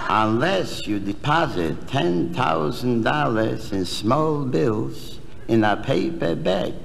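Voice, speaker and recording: Gruff stingy husband, slow delivery, swooping pitch, 1960s comedy record, reverberant hall. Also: male voice, slight background noise. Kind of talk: 1960s comedy album sketch acting.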